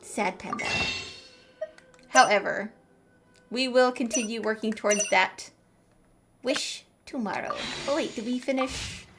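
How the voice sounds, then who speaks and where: A woman's voice: fairly high pitch, a little slow, pauses often, music in the background. A woman chatting casually and playfully as she hosts a video game livestream, bubbly in manner. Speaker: game streamer. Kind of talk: casual livestream gaming chat.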